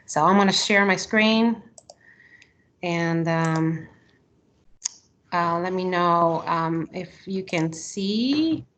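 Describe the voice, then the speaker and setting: A woman's voice: fairly low pitch, very slow, pauses often, fairly bright tone, slight background noise. Chatty Argentinian woman on a video call. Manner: chatty, frequent ums, giggly. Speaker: employee presenting to coworkers. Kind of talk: casual video call presentation.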